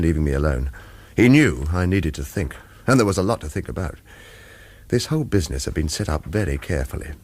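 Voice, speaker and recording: An actor playing a wry, wary ex-agent on the run who tells his own story in a terse, hard-boiled radio thriller style. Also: sits low. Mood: brooding narration. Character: retired male agent turned wary hunted fugitive, wry. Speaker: lead character and first-person narrator. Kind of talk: radio thriller acting and narration.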